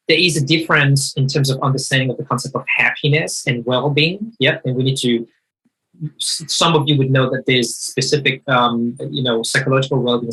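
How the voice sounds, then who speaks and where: Bright-voiced, husky man. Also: mid-range voice, poor audio. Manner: animated, emphatic, rephrases mid-sentence. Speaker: guest speaker. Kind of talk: conversational discussion.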